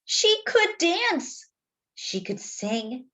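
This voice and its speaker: A woman reading a children's story aloud expressively, phrasing deliberately as if to young listeners. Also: very high voice, sing-song, bright, forceful tone. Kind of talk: expressive picture-book read-aloud with asides to young listeners.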